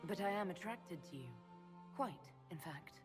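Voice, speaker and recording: A woman's voice: on the low side, unhurried. An actress playing a composed female leader who openly admits her feelings. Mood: candid. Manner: calm, direct and dry. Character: composed female leader of an order, candid in romance. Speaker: player character. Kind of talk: video game dialogue. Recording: loud music underneath.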